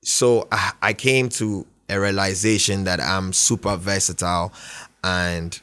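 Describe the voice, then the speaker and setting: A man's voice: deep voice, fairly even intonation, measured pace, bright, forceful tone, clean tone, dry recording, studio quality. A young man from Ghana, a musician guest, talking in a laid-back, rambling way and often asking "do you know what I mean". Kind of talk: casual interview chat.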